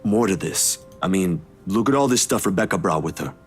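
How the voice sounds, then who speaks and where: A man playing a calm, reassuring young man in a visual novel, speaking in a measured, slightly hesitant way. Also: deep voice, slight background noise. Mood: troubled, reassuring.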